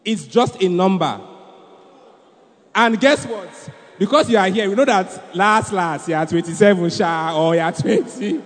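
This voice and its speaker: A man's voice: high-pitched, measured pace. A man with a Nigerian accent preaching and hosting a church youth event, exhorting the room and repeating phrases for emphasis. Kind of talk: animated youth sermon and stage hosting. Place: large indoor hall at a live event. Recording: reverberant large hall PA.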